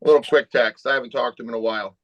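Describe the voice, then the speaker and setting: A man's voice: medium pitch, fairly even intonation, racing, no room sound. A man as a podcast guest, talking in a laid-back, easygoing way. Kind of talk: casual conversation.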